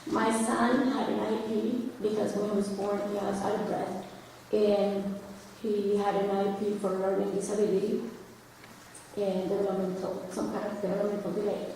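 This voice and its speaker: A hoarse woman, a parent losing her voice, testifying apologetically but heartfelt at a hearing. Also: medium pitch, level tone, normal speed, dark tone, slight background noise. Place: large hall, indoors. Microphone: public microphone. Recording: echoey hall from far off.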